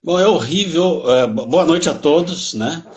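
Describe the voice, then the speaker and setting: Guest: São man, history professor, fairly high voice, modest, self-correcting. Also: animated, measured pace, darkish tone. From Bauru, São Paulo state, Brazil. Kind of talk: conversational interview answers.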